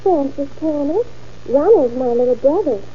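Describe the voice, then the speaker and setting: A woman's voice: high-pitched, lively intonation, normal speed. A timid eleven-year-old girl. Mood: anxious. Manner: hesitant, timid replies. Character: young girl, eleven, worried and polite. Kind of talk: 1940s radio acting. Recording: dull old radio recording.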